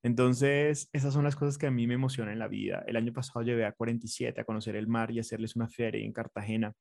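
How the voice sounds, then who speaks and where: A man's voice: medium pitch, steady tone, fast, vocal fry, darkish tone, no echo, clean studio sound. A man with a Colombian accent, a designer being interviewed, talking in an earnest, reflective way with fillers and restarts. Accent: Colombian Spanish. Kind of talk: conversational interview.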